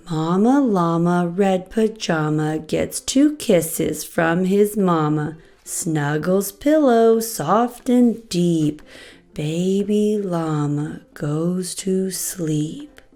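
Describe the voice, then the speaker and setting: Female storybook host for little kids: expressive read-aloud, very unhurried, light music underneath. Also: medium pitch. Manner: slow, expressive, addressing young children. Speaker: children's storybook reader and channel host.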